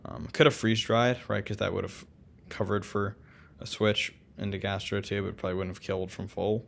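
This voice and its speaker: Low gravelly guy, laid-back recap commentary over an online call. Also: moderate pace, slight background noise. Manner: relaxed, many ums and restarts. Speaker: co-host of a league recap. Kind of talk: casual game commentary.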